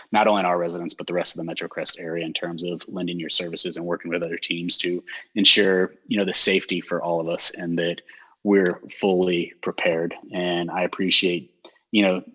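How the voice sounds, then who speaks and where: A man hosting a local talk show, speaking in a relaxed, rambling way with many fillers and restarts and a neutral American accent. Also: sits low, husky, low-quality recording. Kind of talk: casual interview chat.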